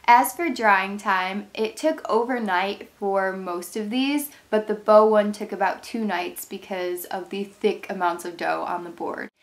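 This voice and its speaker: A woman's voice: medium pitch, unhurried, few pauses. Brief: young woman, congested cold voice, chatty and rambling craft vlogger, camera mic in a bedroom. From Ohio, USA. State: stuffed up, nasal from a cold. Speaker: craft channel host. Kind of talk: casual product review vlog. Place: bedroom, indoors.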